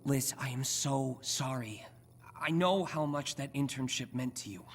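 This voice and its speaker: An actor voicing an earnest, wisecracking young superhero for a video game, with an American accent and a chatty, self-deprecating manner. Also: male voice, medium pitch, measured pace, slight background noise, natural voice. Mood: apologetic, anxious. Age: young adult.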